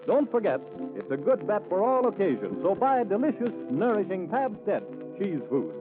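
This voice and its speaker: A man's voice: high voice, big pitch swings, moderate pace. A man reading a scripted 1940s radio advertisement in an enthusiastic, polished selling manner. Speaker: commercial announcer. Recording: tinny 1940s broadcast with music.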